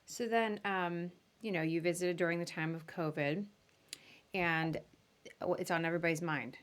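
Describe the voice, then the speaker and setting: A woman's voice: fairly low pitch, fairly even intonation, moderate pace, smooth. A woman hosting a podcast interview in an informal, chatty, conversational way.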